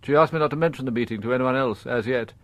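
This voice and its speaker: A man's voice: mid-range voice, fairly bright tone, dry recording, close to an everyday voice. Dignified upper-class father, played by a man for radio drama: mid-range voice, guarded confession, careful wording, dry studio sound. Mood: reflective, formal. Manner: formal, reticent, halting, precise.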